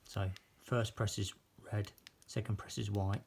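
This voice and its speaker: A man's voice: on the low side, little pitch movement, unhurried, vocal fry, a little mellow, slight background noise. A British man giving a casual, unscripted product demonstration as a reviewer. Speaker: product reviewer filming an unboxing.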